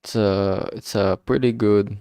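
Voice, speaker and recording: A man talking casually and reflectively on a solo stream, halting often as he searches for words. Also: on the low side, steady tone, warm tone, clean tone, no echo, studio quality. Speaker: indie game developer streaming.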